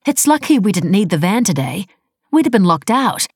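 A woman's voice: low-pitched, expressive, fairly quick, darkish tone, dry recording, studio quality. A woman voicing a defensive, scornful, foul-mouthed woman in an audiobook, blunt and sarcastic with casual phrasing. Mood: offended.

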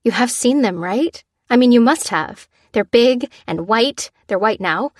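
A woman's voice: fairly high pitch, animated, measured pace, no room sound, studio quality, pitched above the performer's own voice. A woman voicing a frightened young woman on the run, pleading and stammering in a raised voice. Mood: panicked pleading. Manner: pleading, stammering, rising to near-shrieks.

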